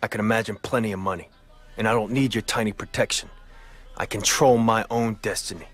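A man's voice: lowish voice, steady tone, a little fast, fairly bright tone. An actor playing a proud, contemptuous male restaurant owner in a video game cutscene.

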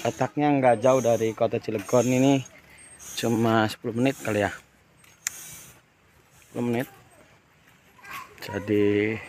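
A man's voice: on the low side, creaky. A man in his thirties, a father chatting casually to the camera on a family outing in a green park, filmed with a phone at arm's length. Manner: relaxed, chatty, points things out. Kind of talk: casual vlog chat. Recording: noisy outdoor phone recording.

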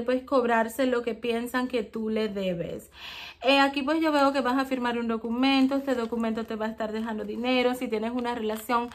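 A woman giving a tarot reading for a zodiac sign, talking in a halting way with many fillers and checking in with the listener. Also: on the high side. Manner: hesitant, many fillers and ¿ok? tags. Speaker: tarot reader.

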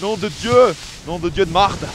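A man's voice: sits high, normal speed, heavy background noise. A man joking and exclaiming as he skis outdoors, filmed on a helmet-mounted action camera. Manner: joking, exclaiming, swearing playfully. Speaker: freeride skier filming with friends. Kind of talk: excited casual commentary while skiing. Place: outdoors on snowy ski slopes.

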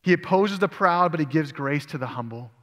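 A man preaching a sermon in a church sanctuary, his fairly high voice carrying with long reverb off the hall. He works through scripture aloud and puts questions to his listeners. The long echo of the room trails behind every phrase. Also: animated, a little fast, a bit bright. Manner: expository preaching, asks the congregation questions. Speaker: pastor.

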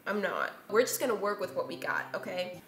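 A woman's voice: medium pitch, fairly quick. A woman in her twenties hosting a casual, chatty baking vlog, with a self-deprecating, playfully exasperated way of talking. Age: 20s. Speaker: host of a baking video series. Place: home kitchen, indoors. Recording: roomy kitchen echo. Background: background music.

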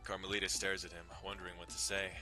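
A man's voice: low voice, little pitch movement, fast, sharp tone, soft background music. A man giving a dramatic scripted narration of a visual novel. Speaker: narrator doing dramatic readings.

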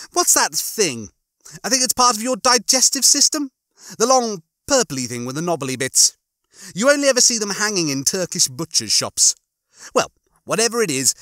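A man's voice: sits high, very animated, sharp tone, dry recording, studio quality, pitched above the performer's own voice, full character voice. A man voicing a smug, pompous, gloating hologram bunkmate in a theatrical, sneering way for a story reading. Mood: gleeful taunting. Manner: theatrical, sneering, mock-cheerful, drawn-out words.

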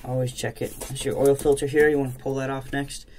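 Male DIY mechanic, casual and unhurried how-to delivery, plain-spoken, outdoor camera audio with faint background noise. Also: mid-range voice, level tone, darkish tone. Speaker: DIY mechanic demonstrating a repair. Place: outdoors in a driveway beside a jet ski.

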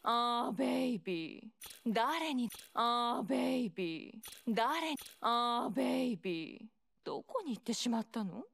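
A glamorous female thief from a video game. She speaks slowly and seductively, teasing and self-assured, with a fairly high voice that creaks at the edges. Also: expressive, fairly bright tone, heavily acted. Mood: sultry teasing. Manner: flirtatious, teasing.

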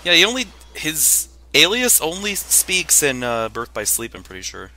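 A man's voice: fairly high pitch, wildly swooping pitch, moderate pace, pushed and bright, slight background noise. A man chatting casually as a co-commentator on a video game playthrough. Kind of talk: casual gameplay commentary chat.

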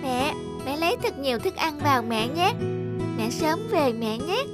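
A woman's voice: high-pitched, lively intonation, normal speed. A woman voicing a needy, hungry baby stork in a cartoon, pleading in a whiny, childlike way. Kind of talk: cartoon voice acting. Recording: loud music behind the voice.

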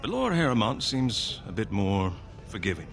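A man's voice: on the low side, very animated, moderate pace, gravelly, slight background noise. An actor voicing a sardonic, rebellious male dwarf noble in a video game, with a dry, self-mocking delivery. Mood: wry.

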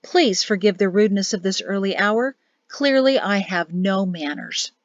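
A courteous male officer of measured, grave authority, acted out by a woman narrator in an audiobook. The sound is close and dry but slightly dull. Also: medium pitch, dry recording, lightly acted. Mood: courteous, kind. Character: commanding, courteous male military officer, wryly kind.

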